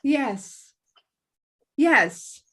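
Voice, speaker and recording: A sweet young fiancée in a drama script read, played by a woman. She speaks hesitantly and very slowly in a high voice. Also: lively intonation, fairly bright tone, exaggerated voice. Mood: hesitant, earnest. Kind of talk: table read acting.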